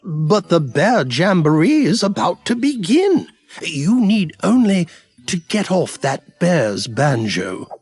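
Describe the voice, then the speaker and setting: A pompous, patient cartoon storybook narrator, speaks with grand formality. The pitch swings very widely, the pace is slow and deliberate, and the tone has a husky edge. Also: sing-song, darkish tone, dry recording, full character voice. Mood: grand, playful.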